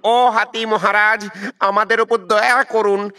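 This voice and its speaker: A man's voice: sits high, flat delivery, slow pace, sharp tone, full character voice. A man voicing a small, distressed father bird in a children's animal fable, imploring and upset. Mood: pleading.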